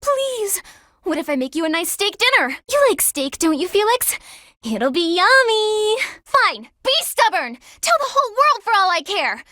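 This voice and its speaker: An actress playing an earnest, clumsy young woman student in a video game, excitable and easily flustered. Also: very high-pitched, unhurried, no echo, studio quality, full character voice. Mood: pleading, indignant. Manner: animated, easily flustered, hums silly made-up songs. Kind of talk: video game character dialogue.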